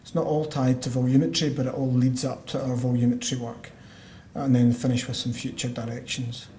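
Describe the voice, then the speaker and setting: A Scottish man, a lung doctor, giving a measured medical conference talk with frequent fillers and restarts. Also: medium pitch, little pitch movement, dark tone. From Scotland. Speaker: pulmonologist giving a conference lecture. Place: conference hall. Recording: roomy hall sound, faint background noise.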